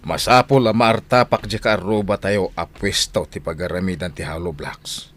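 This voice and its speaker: A stubborn, grumbling Filipino husband. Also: male voice, sits low, normal speed, fairly bright tone. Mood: businesslike. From Philippines. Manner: dismissive, grumbling, exclaims. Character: stubborn money-minded husband and father running a hollow block business. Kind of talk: Philippine radio drama acting.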